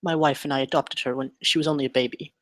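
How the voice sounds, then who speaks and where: A man acting an educated, melancholy doctor in a script table read, speaking in a formal, measured, articulate way. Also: medium pitch, steady tone, no echo, clean studio sound, natural voice. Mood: solemn, reminiscing. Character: educated melancholy male doctor, dreamy captive scientist.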